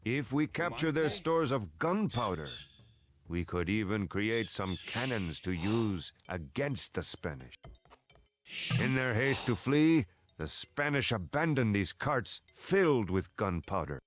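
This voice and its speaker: Slow, low-voiced loyal Aztec warrior. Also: male voice, very animated, noisy background. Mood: earnest, advising. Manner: deliberate, earnest, formal. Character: loyal Aztec warrior adviser, grave and determined. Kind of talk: scripted video game mission dialogue.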